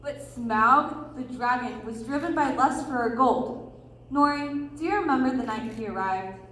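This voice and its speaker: A woman's voice: on the high side, normal speed, a bit bright, natural voice. A woman playing a wistful dwarf miner who tells of a lost home, in a readers theatre storytelling style. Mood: grave. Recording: echoey room, faint background noise.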